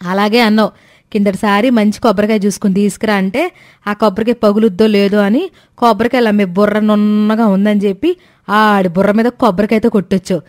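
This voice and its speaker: A woman voicing an exasperated, sarcastic older mother-in-law in an animated story, scolding with dry mockery. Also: mid-range voice, quick pace, lightly acted. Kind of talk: Telugu animated moral story dialogue.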